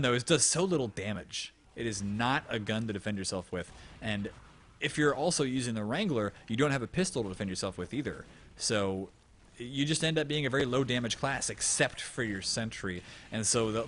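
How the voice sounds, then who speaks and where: Animated young male game caster. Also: fairly low pitch. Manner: joking, rambling banter. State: losing his voice. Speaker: esports caster. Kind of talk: live game commentary.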